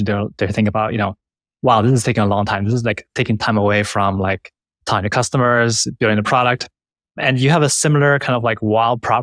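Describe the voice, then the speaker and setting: Very fast-talking, lowish-voiced male interviewer. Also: a bit bright, dry recording. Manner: informal, recaps and checks with "right?". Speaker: interviewer, show host. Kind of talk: casual interview chat.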